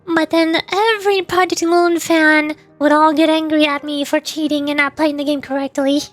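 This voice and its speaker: A woman's voice: high-pitched, steady tone, moderate pace, dry recording, faint music behind. A woman streamer chatting casually and rambling about games while answering viewers' questions. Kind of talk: casual livestream chat.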